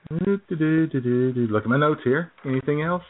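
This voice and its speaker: A man's voice: on the high side, brisk. A man hosting a live radio show in a casual, chatty style. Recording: muffled lo-fi internet radio.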